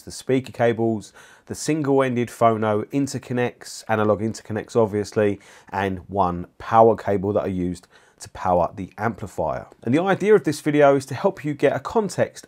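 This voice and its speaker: A man's voice: lowish voice, a little mellow. A man in his forties presenting a review video as host, speaking into a lapel mic in a small treated studio. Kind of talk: scripted-sounding video presenting to camera. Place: small indoor studio room with acoustic panels.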